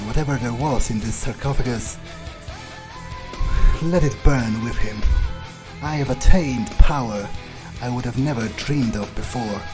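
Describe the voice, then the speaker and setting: A man's voice: medium pitch, natural voice. A man voicing an arrogant, power-hungry male vampire in a cold, self-assured manner, roleplaying over a video game. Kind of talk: in-character roleplay over gameplay. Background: game music.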